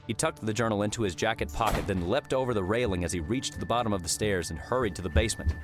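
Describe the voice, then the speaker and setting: Male audiobook narrator: scripted storytelling, fairly low register, clear music bed. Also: fast talker.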